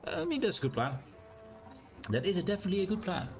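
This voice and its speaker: A man with a naturally loud voice and a strained, sore throat, thinking aloud in a rambling way as he streams a game. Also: high-pitched, very animated, fast, over music. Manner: rambling, thinks aloud with fillers and self-corrections. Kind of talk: casual live-stream gameplay commentary.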